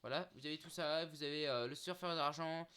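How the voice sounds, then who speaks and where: A man's voice: fairly high pitch, brisk, pushed and bright, smooth. A young man talking casually to his viewers in a vlog, hesitant, full of fillers and restarts, calling them guys. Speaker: YouTuber listing streaming titles. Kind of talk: casual unscripted vlog talk.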